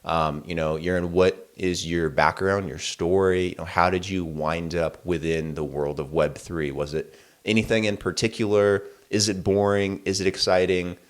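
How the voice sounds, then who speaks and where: A man hosting a podcast interview, upbeat and eager, speaking in quick bursts. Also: deep voice, steady tone. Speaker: podcast host.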